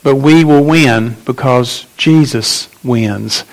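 A man's voice: mid-range voice, takes it slowly, low-quality recording. A man, the pastor, making church announcements and introducing a guest speaker, quizzing the congregation on who speaks tonight. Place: church sanctuary.

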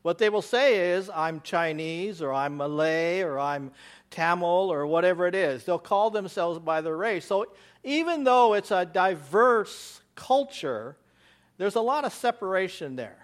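An American missionary giving a church slide presentation, rambling with many fillers and restarts. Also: male voice, sits high, animated, unhurried. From United States.

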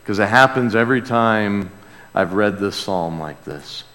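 A man preaching and praying to a congregation, earnest in manner, swelling from hushed to emphatic. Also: fairly low pitch, lively intonation, a little slow. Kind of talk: sermon and prayer to a congregation. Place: large church room or hall. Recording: reverberant hall sound.

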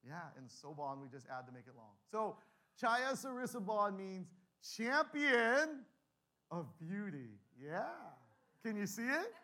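A man's voice: high voice, sing-song, a little slow, sharp tone. A man in his forties, a pastor giving a humorous talk to a live audience, joking and calling for replies. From Canada. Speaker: pastor and guest speaker. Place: large room with a live audience.

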